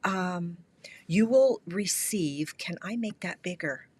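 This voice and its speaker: A woman's voice: on the low side, lively intonation, slow pace, darkish tone, breathy edge, no room sound. A middle-aged woman from Canada hosting a live craft tutorial, her voice stuffy and a little scratchy from a cold, chatting and greeting viewers by name. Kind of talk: casual live-stream tutorial chat.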